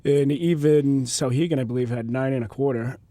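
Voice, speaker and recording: A man who is a football coach, guesting on a radio show, stuffy with a cold, relaxed and easygoing. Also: medium pitch, fairly quick, dry recording. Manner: relaxed, easygoing, laughs lightly. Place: radio studio. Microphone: studio microphone.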